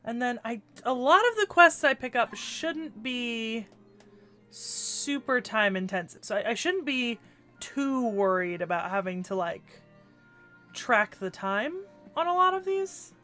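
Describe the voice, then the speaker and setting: A woman's voice: fairly high pitch, animated, unhurried, bright, forceful tone, soft background music. Congested woman rambling on a game stream. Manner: rambling and chatty, with many restarts and casual swearing. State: stuffy and congested from a cold. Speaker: streamer playing a video game. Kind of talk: casual livestream chat while gaming.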